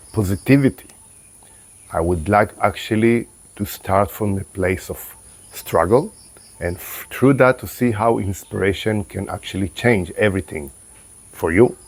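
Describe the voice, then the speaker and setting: A man with a very deep voice talks slowly and reflectively, a qigong teacher whose first language is Hebrew. His voice sounds slightly closed, and he pauses and restarts as he gathers his thoughts. Insects chirp quietly in the background. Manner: calm, unhurried, with pauses and restarts. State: voice a bit closed after meditation. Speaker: qigong and tai chi teacher. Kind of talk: reflective spoken talk to camera.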